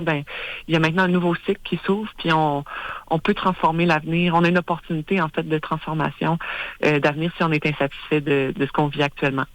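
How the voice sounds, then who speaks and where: A woman's voice: on the low side, dry recording, low-quality recording. A woman from Quebec with a Québécois accent, a radio interview guest who explains her points earnestly, with many hesitations and restarts. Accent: Québécois French. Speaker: guest, head of an independence organization.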